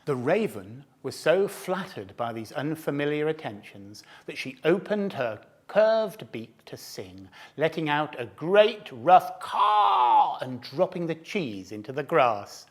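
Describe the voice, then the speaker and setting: A man narrating a storybook tale expressively, dropping at times into hushed whispers. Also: a little high, huge pitch swings, slow, hardly any pauses, slightly rough.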